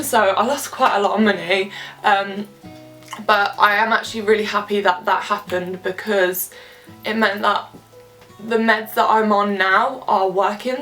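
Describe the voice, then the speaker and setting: A young woman in her early twenties, a little stuffy from a cold, talks candidly to camera while doing her makeup. Her voice is mid-pitched and sits some way from the mic, with faint music underneath. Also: a bit bright, recorded from a distance, poor audio. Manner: candid, chatty, heavy use of filler words. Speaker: vlogger. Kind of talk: casual chat to camera. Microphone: camera some distance away.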